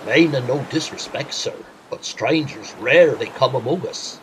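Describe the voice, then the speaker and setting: A man's voice: medium pitch, normal speed, a little hiss or hum, lightly acted, rough recording. Superstitious rustic villager played by a man. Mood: polite, curious. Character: superstitious, talkative coastal villager, polite but nosy. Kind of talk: audio drama dialogue.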